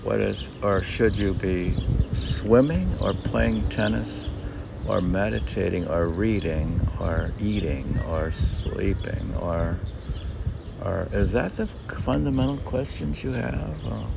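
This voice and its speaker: A man reading his own poems with casual, rambling commentary, halting and musing as he puts questions to himself. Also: fairly low pitch, vocal fry. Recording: noisy outdoor recording with birds. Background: birdsong, ambient noise.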